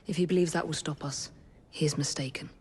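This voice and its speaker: A woman's voice: low-pitched, steady tone, brisk, darkish tone, slightly rough, long reverb tail. A woman acting as a composed, determined young Victorian woman in a game cutscene, serious and commanding.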